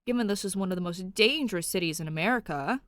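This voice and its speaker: A woman's voice: fairly low pitch, expressive, fast talker, no room sound, clean studio sound, lightly acted. Woman voicing a protective, earnest young policeman. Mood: pleading. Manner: placating, worried, pleading. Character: caring young male cop, protective, earnest and apologetic. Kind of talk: character voice in fan fiction audiobook.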